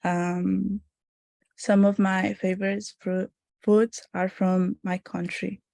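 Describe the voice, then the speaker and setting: Young woman, dark and gravelly, slow and even delivery, hesitant student presenter. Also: medium pitch, no room sound. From Honduras. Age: young adult. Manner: hesitant, with fillers and small laughs. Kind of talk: class presentation.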